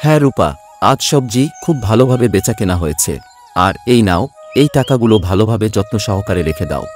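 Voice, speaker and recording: A man voices a poor village farmer in a cartoon, with a lowish pitch. He is an earnest, good-natured family man, heard over music. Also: animated, brisk, natural voice. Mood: cheerful, respectful. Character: hardworking poor vegetable farmer, doting husband and father. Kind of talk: Bengali cartoon voice acting.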